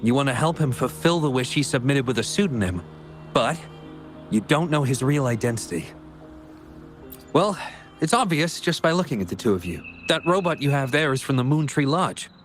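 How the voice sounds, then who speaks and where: A man's voice: medium pitch, fairly bright tone, close to an everyday voice. A man voicing an earnest, caring young male researcher in a video game. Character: earnest young male researcher worried for an estranged childhood friend.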